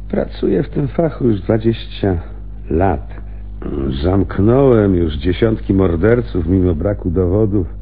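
An actor playing a cunning, sardonic veteran homicide lieutenant in a radio drama, insinuating and pausing theatrically. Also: male voice, deep voice, vocal fry, slightly rough, heavily acted. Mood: smug, reflective. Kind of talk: Polish radio drama acting. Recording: muffled phone-like radio recording, hum.

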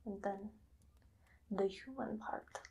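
A woman playing a menacing, obsessive female vampire hunter in a whispered ASMR roleplay, slow and deliberate. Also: mid-range voice, slight background noise. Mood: musing. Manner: slow, hushed, deliberate, dramatic pauses. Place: small dim room indoors.

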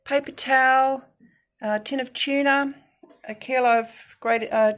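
An older Australian woman vlogging casually about her budget grocery shop, listing items with lots of ums and pauses, recorded on a phone. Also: high-pitched, steady tone. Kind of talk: casual grocery haul vlog. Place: inside a parked car. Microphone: phone camera at arm's length.

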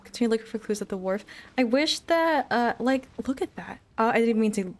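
A woman's voice: a little high, lively intonation, brisk. A young woman chatting casually and ramblingly while streaming a video game. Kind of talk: casual rambling livestream chat.

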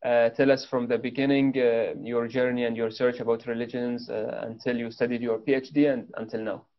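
A man's voice: mid-range voice, little pitch movement, brisk, highs cut off. Young male host with a formal intro. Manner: formal, reads scripted questions. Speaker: interview host. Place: indoor room at home.

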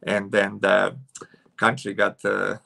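A man's voice: on the low side, completely flat delivery, slow, gravelly, pushed and bright. A man in his forties from Serbia with a Serbian accent, a futsal coach speaking hesitantly with many fillers on a video call from home. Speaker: futsal head coach, guest. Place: room at home with curtains.

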